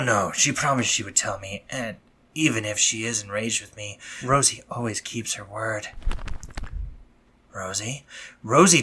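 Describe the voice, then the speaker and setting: Man acting anxious, breathy spider-monster medic. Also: mid-range voice, pushed and bright, full character voice. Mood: panicked. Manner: formal, stammering, halting when flustered. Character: anxious, cool-headed male spider-monster medic, awkwardly tender and dominant. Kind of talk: scripted romantic audio roleplay, intimate monologue.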